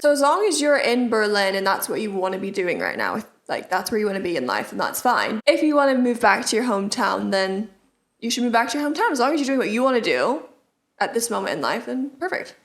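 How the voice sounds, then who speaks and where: A woman in her twenties co-hosting a podcast, gently reassuring and leaning on casual fillers. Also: medium pitch, big pitch swings, fast. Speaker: podcast co-host. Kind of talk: casual advice chat.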